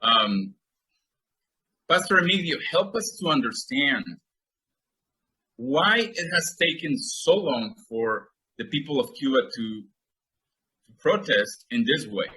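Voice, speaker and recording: Bright, upbeat male interview host. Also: medium pitch, animated, slow, pauses often, rough recording. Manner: upbeat, with frequent fillers. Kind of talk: interview hosting.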